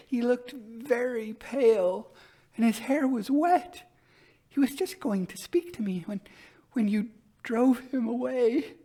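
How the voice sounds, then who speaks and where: A man voicing a wild, anguished young gentlewoman startled from sleep in a ghost story. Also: extremely high pitch, pitched above the performer's own voice, heavily acted. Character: distraught young Scottish gentlewoman waking wildly from sleep.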